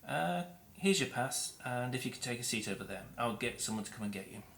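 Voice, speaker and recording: An actor playing a polite, fussy office receptionist who hesitates with uhs. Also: male voice, mid-range voice, lively intonation, a little fast, gravelly, fairly bright tone. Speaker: council receptionist. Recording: echoey room with faint noise.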